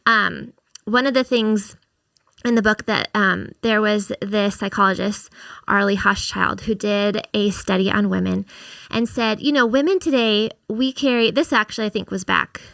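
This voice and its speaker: Gentle woman podcaster, kinda gravelly, dry close mic. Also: mid-range voice, fairly even intonation, normal speed, no echo. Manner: gentle, reflective, speaks of women's experience. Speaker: podcast co-host and author. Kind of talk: casual faith-based podcast conversation.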